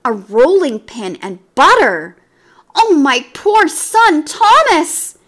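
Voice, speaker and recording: A woman's voice: high voice, measured pace, fairly bright tone, pitched above the performer's own voice. A woman voicing a fretful mother cat who talks tearfully and pleads in distress.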